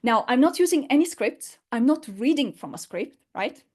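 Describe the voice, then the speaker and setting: A woman's voice: high-pitched, animated, moderate pace, darkish tone. A woman teaching an unscripted online lesson in English, her fourth language, explaining emphatically with rhetorical questions. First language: not English.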